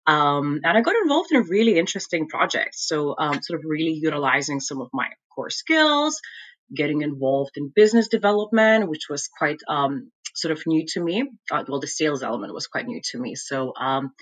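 A woman's voice: sits low, sing-song, a little fast, fairly bright tone, husky. A woman from Lithuania with a Lithuanian accent, answering interview questions thoughtfully with many fillers like "um" and "like". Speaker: guest, MBA graduate and consultant.

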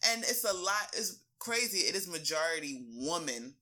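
A woman's voice: lowish voice, moderate pace. A woman talking casually as a fitness coach being interviewed, slangy and emphatic, telling stories and acting out quoted remarks. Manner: expressive storytelling, acts out quoted remarks. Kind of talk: animated casual interview talk.

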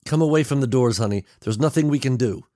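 A man plays a worried, protective dad in an audiobook story. His pitch is pushed up from a low register. He speaks with agitated urgency, as a father guarding his child. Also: fast, mellow, dry recording, clean studio sound, lightly acted. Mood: pained, soothing. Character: anxious, protective suburban father of a young girl.

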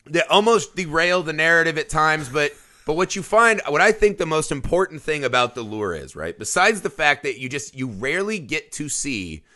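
Male podcast host, slightly high, bright-toned, excitable, laughs a lot. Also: normal speed. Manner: excitable, laughs often, frequent restarts and swearing. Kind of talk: casual film podcast chat.

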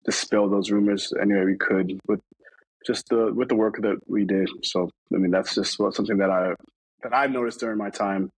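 Man, from New York, interview guest, husky, dry close recording, hesitant rambling delivery. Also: on the low side, fairly even intonation, brisk, no echo, rough recording. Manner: rambling, many fillers and restarts. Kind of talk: casual conversational interview.

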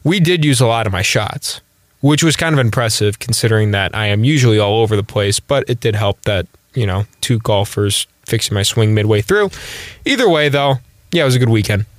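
A man's voice: lowish voice, animated, fairly quick, dry recording. A young man with a cold co-hosting a casual sports podcast, rambling easily with lots of fillers. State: has a cold, sniffly. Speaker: podcast co-host.

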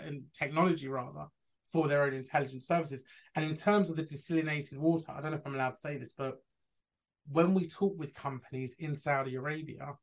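Hesitant man hosting a podcast. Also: on the high side. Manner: hesitant, apologetic, many restarts. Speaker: podcast host. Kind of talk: podcast interview.

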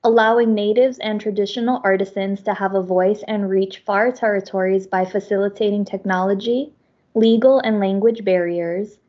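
A woman podcast host reading a guest introduction aloud in a measured way. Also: mid-range voice, steady tone, muffled recording.

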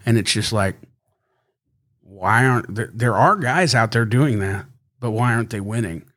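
A man's voice: moderate pace, a bit bright. A man who is a country singer, chatting casually as a podcast guest and rambling easily with frequent laughs. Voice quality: high singing voice. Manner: easygoing, rambling, laughs often.

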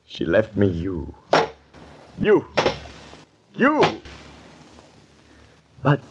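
An actor playing a tormented, obsessed island governor in a melodramatic, anguished 1940s radio drama style. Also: male voice, on the low side, vocal fry, darkish tone, husky, exaggerated voice. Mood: unhinged, anguished. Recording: noisy vintage 1940s radio recording.

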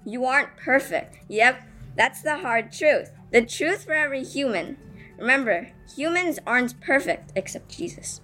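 A woman's voice: high-pitched, expressive, normal speed, fairly bright tone, faint music behind. A female student earnestly reading a prepared speech aloud, addressing listeners with rhetorical questions. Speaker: student reading own essay.

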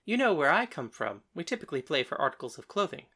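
A woman's voice: sits low, sing-song, fast, fairly bright tone, husky, pitched below the performer's own voice, full character voice. A woman voicing a sly, worldly man in an audiobook story, with a wry, amused manner at the card table. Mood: amused, suave.

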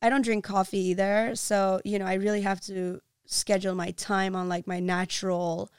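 A woman's voice: mid-range voice, level tone, moderate pace, darkish tone, clear, resonant tone, no echo, clean studio sound. A young woman hosting a casual chat, talking loosely with lots of "like" and "you know". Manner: chatty, full of "like" and "you know". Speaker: podcast host.

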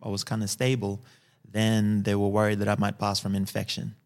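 Podcast guest: man, early 40s, low gravelly voice, calm and steady, tags lines with "yeah?". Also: fairly quick. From Fiji. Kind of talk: personal storytelling in a podcast interview.

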